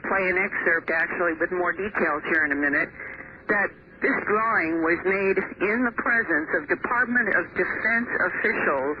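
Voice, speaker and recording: A woman reporter giving a measured, explanatory on-air report over a payphone line. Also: lowish voice. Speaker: investigative reporter and author. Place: on a payphone, phoned into a radio show. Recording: muffled noisy payphone line on radio.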